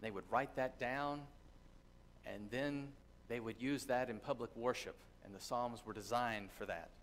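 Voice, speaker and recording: A middle-aged man giving a sermon from a church pulpit, teaching earnestly with thoughtful pauses and reading scripture aloud. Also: medium pitch, a bit bright, a little hiss or hum. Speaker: pastor. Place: church sanctuary with congregation. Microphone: distant camera, lectern mic.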